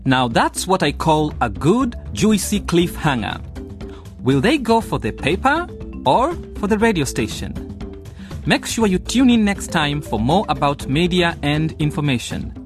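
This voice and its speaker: Male radio presenter: scripted sign-off, highish voice, very animated, clear music bed underneath. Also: unhurried. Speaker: radio drama narrator and presenter. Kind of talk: scripted radio outro narration.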